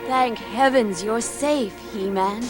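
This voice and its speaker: A woman's voice: fairly high pitch, takes it slowly, loud music behind the voice. An actress playing a brave, earnest young warrior woman, declamatory and urgent, in a children's audio storybook. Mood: relieved.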